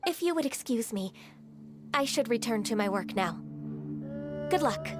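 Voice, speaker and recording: A woman playing a gentle, dutiful half-human adeptus secretary in a video game, with polite, formal, sometimes hesitant speech. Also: a little high, lively intonation, moderate pace, over loud music, natural voice. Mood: polite, grateful.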